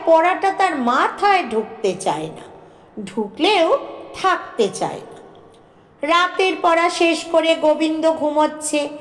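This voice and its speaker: Female storyteller, high pitch, expressive bedtime narration with noticeable room echo. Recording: roomy, echoing voice recording.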